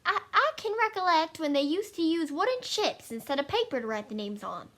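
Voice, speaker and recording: A woman's voice: very high voice, sing-song, brisk, fairly bright tone, full character voice. A woman playing a grumbling elderly townsperson who reminisces in a folksy way, in an amateur play acted over video call. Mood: nostalgic. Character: grumbling elderly town old-timer, nostalgic for strict ritual. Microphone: video call microphone.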